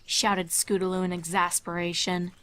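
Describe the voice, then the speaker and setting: A woman's voice: lowish voice, level tone, normal speed, fairly bright tone. A woman reading fan fiction aloud as a scripted story narrator.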